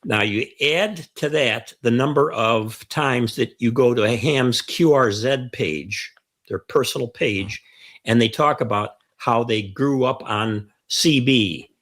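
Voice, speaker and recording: A man's voice: mid-range voice, slow, fairly bright tone. An older man with an American accent, chatting through an explainer as host and stressing key phrases. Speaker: host of a ham radio show.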